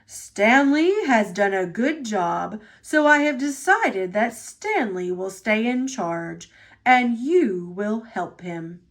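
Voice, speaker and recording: Woman putting on a stately, slow-speaking railway boss. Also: on the high side, very animated, heavily acted. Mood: firm, approving. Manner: measured, formal, explanatory. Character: stately male railway boss, measured and authoritative.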